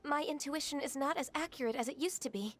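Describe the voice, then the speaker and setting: A woman's voice: high voice, fast talker, background noise, natural voice. An actress voicing a polite, composed young woman official in a video game. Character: dutiful young woman official, calm and formal.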